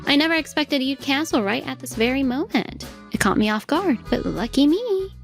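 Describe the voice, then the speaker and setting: A woman's voice: high-pitched, animated, normal speed, vocal fry. A woman playing a poised, self-assured CEO in her thirties in a manga dub, gracious and coy, with music under her. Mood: pleased. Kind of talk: dramatic manga dub voice acting.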